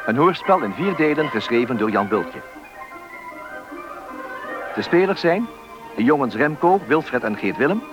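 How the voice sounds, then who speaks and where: A man reading scripted radio play credits as a formal, measured announcer, in a muffled old recording with music behind. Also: medium pitch, very animated.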